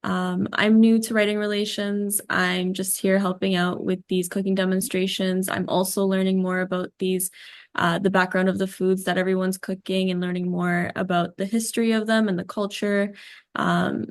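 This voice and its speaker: A woman's voice: medium pitch, level tone, normal speed, dry recording, clean studio sound. A woman from Afghanistan giving a friendly self-introduction at an online event. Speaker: helper at the event. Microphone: video call.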